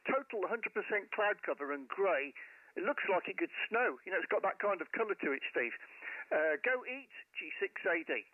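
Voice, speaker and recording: Man on narrow-band radio, higher-pitched voice. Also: no echo. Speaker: amateur radio operator, live streamer. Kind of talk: casual radio contact chat. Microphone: headset microphone.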